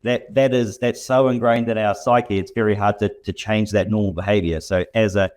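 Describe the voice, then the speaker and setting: A man with a New Zealand accent, a guest in an interview, talking in a relaxed way with many ums. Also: lowish voice, brisk. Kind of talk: casual interview.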